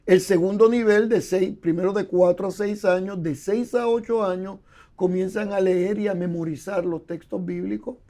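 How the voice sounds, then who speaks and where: A man's voice: high voice, fairly even intonation, normal speed, mellow. An older man explaining scholarly points at length in a conversational interview, often repeating words and building his points with examples. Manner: explanatory, lecturing with examples and repetitions. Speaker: guest, Bible scholar.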